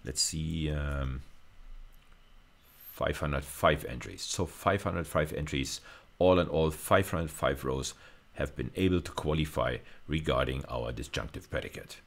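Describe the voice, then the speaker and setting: A male university lecturer. His speech is creaky with vocal fry. He explains a live technical demonstration informally, pausing to think aloud as he goes. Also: very deep voice.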